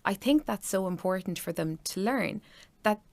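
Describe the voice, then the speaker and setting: A woman's voice: mid-range voice, animated, moderate pace, a little mellow. A woman in her thirties with an Irish accent, chatting enthusiastically as a parent about her children's school. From Ireland. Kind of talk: casual chat.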